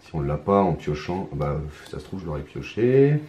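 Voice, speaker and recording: A hoarse man talking his way through a solo card game in hesitant, mumbled commentary. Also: extremely low pitch, very animated, normal speed, mellow, slight background noise. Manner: hesitant, mumbling, many restarts, muttering to himself. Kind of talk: casual solo gameplay commentary. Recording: roomy echo, faint ambience.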